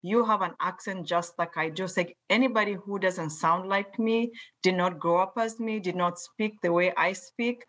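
A woman from Kenya with a Kenyan accent, speaking slowly and carefully as a guest on a panel discussion. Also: lowish voice, fairly bright tone. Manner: measured, deliberate enunciation.

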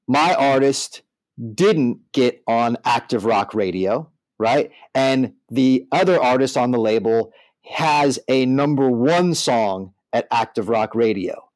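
A man's voice: mid-range voice, darkish tone, no room sound, poor audio. A man co-hosting a casual discussion, explaining his opinions step by step and checking in as he goes. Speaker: podcast co-host and band manager. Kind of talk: casual music industry discussion.

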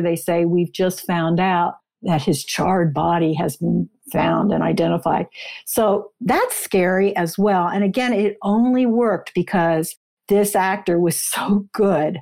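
A woman speaking as an interview guest, explaining and telling a story in deliberate, short phrases. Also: lowish voice, creaky, mellow.

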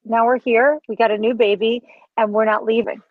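A woman co-hosting a podcast, chatting casually with quick, bubbly reactions. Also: fairly high pitch, level tone, no room sound, narrow, tinny audio. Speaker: podcast co-host.

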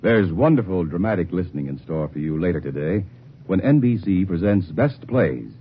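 Lively old-time network announcer man. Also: deep voice, moderate pace, breathy edge. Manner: lively, persuasive. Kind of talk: scripted promo announcing. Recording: tinny old radio broadcast with hiss.

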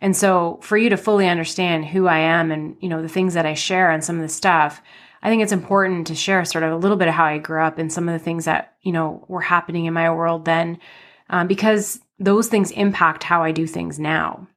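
Podcast host, woman in her 30s, lower pitch, chatty rambling delivery with a slight cold in the nose. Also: fairly even intonation, brisk. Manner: chatty, rambling with many fillers and restarts. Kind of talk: casual personal storytelling.

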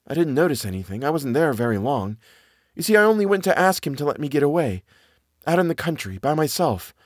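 Brief: man voicing a tense, trembling young heroine, raised and lively, dry close sound. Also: mid-range voice, dry recording, pitched above the performer's own voice. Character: nervous, frightened young lady of the house.

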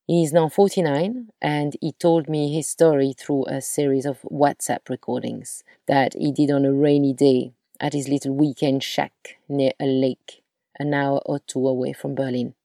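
A woman hosting a storytelling podcast, reading scripted narration in a measured, deliberate way that slows on key words. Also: extremely low pitch, steady tone, mellow, no echo, studio quality.

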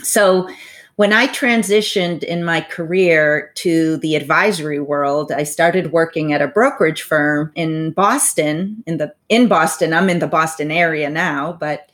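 A woman in her forties speaks in a fairly low voice, answering interview questions in a thoughtful, measured way. She pauses on her phrases and drops in casual "you know" asides. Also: a bit bright. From United States, parents from Bolivia. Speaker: financial advisor, interview guest.

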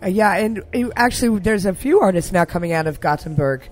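A woman's voice: mid-range voice, animated, brisk, darkish tone. A woman co-hosting a casual interview, asking enthusiastic questions. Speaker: co-host.

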